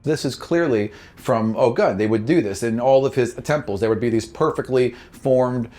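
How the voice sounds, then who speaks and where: A man's voice: medium pitch, moderate pace, a little mellow. A man narrating an improvised tabletop game as game master in a casual, conversational way.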